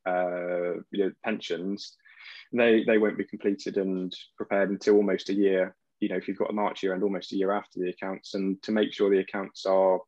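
A man's voice: sits low, level tone, moderate pace, darkish tone, no echo. A young man, an accountant, answering questions in a professional video call, hesitant with many fillers and restarts. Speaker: accountant panelist. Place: home room. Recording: dull, lo-fi video-call audio.

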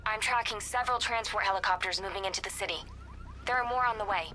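A woman's voice: mid-range voice, quick pace, pushed and bright, faint music behind, natural voice. A woman playing an efficient young intelligence operator in a video game, speaking in a businesslike way.

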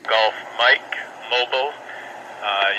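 A man chatting casually and haltingly as a radio operator, heard through a two-way radio. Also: medium pitch. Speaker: radio operator on the other end. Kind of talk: casual radio contact chat. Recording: noisy, sharp handheld radio speaker.

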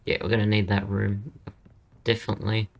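A hoarse young man with a partly lost voice, chatting casually as he plays through a game and says "dude" a lot. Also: fairly low pitch, completely flat delivery, fairly quick, a little hiss or hum. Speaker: gaming channel host. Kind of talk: casual let's-play commentary.

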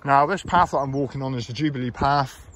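A man's voice: medium pitch, a little fast, fairly bright tone. A man walking through an outdoor park, explaining and criticising plans in a hesitant way with many fillers, recorded on a handheld phone. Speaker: local election candidate. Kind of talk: walking tour commentary. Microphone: phone camera held while walking. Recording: phone recording outdoors with faint background noise.

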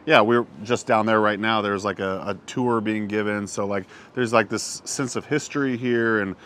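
A man in his thirties hosting a travel vlog, chatting casually outdoors and often filling pauses with "uh" and "yeah". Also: lowish voice, slight background noise. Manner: relaxed, lots of "uh" and "yeah". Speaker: vlog host. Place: outdoors on a hillside above a small town.

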